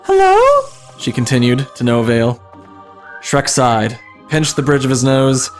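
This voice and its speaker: A man's voice: medium pitch, little pitch movement, unhurried, faint music behind. A man reading a comic fan fiction story aloud as dramatic narration.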